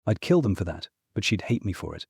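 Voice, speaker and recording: A man's voice: on the low side, very animated, fast talker, gravelly, darkish tone, slightly rough, no room sound, studio quality, full character voice. A man playing a proud, scheming ex-god uncle, imperious and persuasive, in a fantasy audiobook. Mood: scornful, insistent. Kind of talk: full-cast fantasy audiobook acting.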